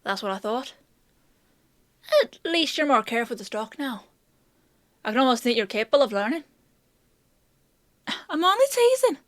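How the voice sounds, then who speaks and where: Woman acting a high-voiced, teasing fantasy apothecary woman. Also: very animated, moderate pace, long pauses, a bit bright, no echo. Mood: mock scolding, then amused teasing. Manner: playfully scolding, sardonic, chatty. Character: teasing, bossy female apothecary shopkeeper in a fantasy town. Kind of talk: fantasy audio roleplay, spoken to the listener.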